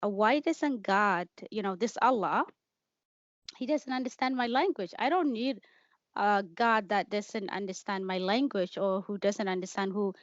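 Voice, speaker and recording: A woman's voice: mid-range voice, measured pace, dry recording, muffled recording. A woman whose first language is Somali, telling her story as an interview guest, hesitant with many fillers and restarts. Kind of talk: candid personal interview.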